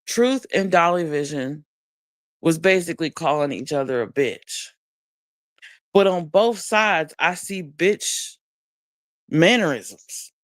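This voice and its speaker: A woman hosts a late-night stream, speaking slowly and bluntly with plenty of profanity. She is high and drifts through her thoughts with restarts and pauses. The sound is dry and close, with no room around it. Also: lively intonation, no room sound. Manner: blunt, profane, slow and musing with frequent restarts. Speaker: livestream host. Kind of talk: late-night casual commentary. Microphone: streaming mic.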